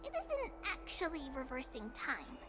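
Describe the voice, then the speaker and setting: Tiny, chatty winged goddess; very high and lively. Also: female voice, normal speed, background noise. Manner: bubbly, sing-song and lively. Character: tiny winged girl-like demigoddess, cheerful and chatty. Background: game music.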